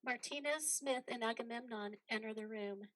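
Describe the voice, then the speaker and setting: A woman's voice: a little high, normal speed, a bit bright, low-quality recording. A woman narrating a play, reading out stage directions as scripted dramatic narration.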